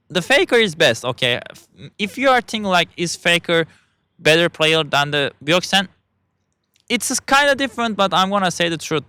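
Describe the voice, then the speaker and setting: Bright-voiced Turkish man, halting English. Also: on the high side, no room sound. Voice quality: halting, searching for English words. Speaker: vlogger. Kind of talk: casual monologue.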